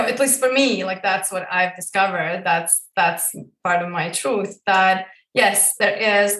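Halting, reflective woman from Croatia. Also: mid-range voice, fairly bright tone, echoey room, distant mic, low-quality recording. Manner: searching, halting, many fillers and restarts. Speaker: interview guest. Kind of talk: reflective personal interview.

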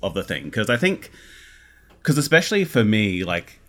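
A man's voice: lowish voice, sing-song, normal speed, sharp tone. A man speaking as an interview guest in casual conversation, explaining his ideas earnestly and with emphasis. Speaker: interview guest, audio drama creator.

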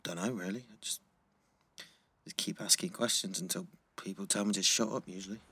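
A man playing a sensible, gentle teenage schoolboy, hesitant and understated, in a naturalistic audio drama. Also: deep voice, steady tone, fairly quick, slightly rough, dry recording, heavily acted. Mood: mild, curious.

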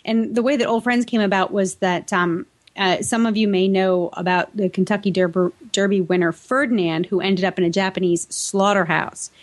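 A woman's voice: mid-range voice, fairly even intonation, moderate pace, hardly any pauses. A woman with an American accent and a mid-range voice co-hosts a podcast. She talks casually and chattily, with a playful, teasing edge. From New England, United States. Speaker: podcast co-host. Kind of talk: casual co-host banter and chat.

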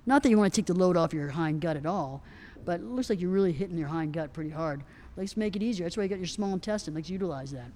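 A soft-spoken woman answering questions on a live panel, rambling with lots of fillers and restarts. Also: lowish voice, fast, slight background noise. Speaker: equine nutrition expert answering questions. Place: outdoor event festival.

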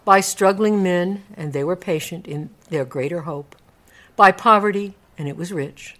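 A woman narrating a classic story in a live dramatic reading, with measured, theatrical phrasing. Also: fairly low pitch, a bit bright.

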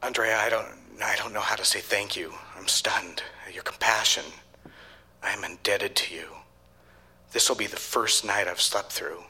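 A commanding executive boss in a live radio drama, with a husky, breathy edge to a bright, forceful voice. He speaks emphatically and with authority, yet sounds smitten. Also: fairly low pitch, fairly even intonation, normal speed, full character voice. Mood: grateful, weary. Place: live on stage in a theater.

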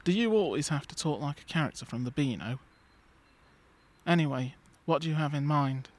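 Bloke playing a bored sarcastic stoke teen, glum drawl. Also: male voice, a little high, animated, moderate pace, close to an everyday voice. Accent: Stoke-on-Trent. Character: bored young teenage boy Dodge.

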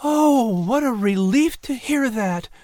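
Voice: mid-range voice, animated, slow pace, mellow, no room sound, studio quality, voice pushed up. An audiobook narrator voicing a caring, gracious colonial mother. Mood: relieved.

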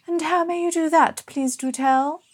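A woman's voice: sits high, fairly even intonation, normal speed, close to an everyday voice. A woman voicing a haughty, prim aristocratic lady who exclaims imperiously in a bawdy period storybook.

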